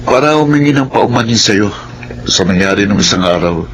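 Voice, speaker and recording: An actor playing a scheming, hot-tempered rich son in a melodrama, domineering and threatening in manner. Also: male voice, fairly low pitch, animated, a little fast, a bit bright, a little hiss or hum. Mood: falsely friendly, coaxing. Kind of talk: Filipino radio-style melodrama acting.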